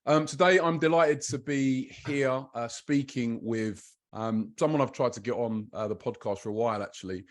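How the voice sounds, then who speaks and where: A man's voice: mid-range voice, normal speed. A man hosting a podcast interview, talking conversationally with many fillers and hesitations. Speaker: podcast host.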